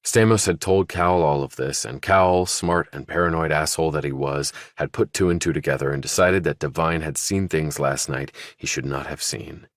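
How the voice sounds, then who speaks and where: Male audiobook narrator for thriller fiction. Extremely low pitch, dry recording. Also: normal speed, hardly any pauses, dry recording.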